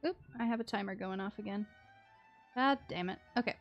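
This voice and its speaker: A woman's voice: medium pitch, fairly quick, lo-fi sound, faint music behind. A young adult woman chatting casually and expressively on a game livestream. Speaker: game streamer.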